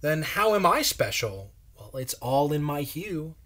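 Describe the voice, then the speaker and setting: A round, genteel blueberry lady from a children's storybook, voiced by a man. She speaks in rhyme, with a swooping, fairly high delivery. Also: very animated, unhurried, a bit bright, exaggerated voice. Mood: wistful, proud. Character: Madame Blueberry, round blue lady berry.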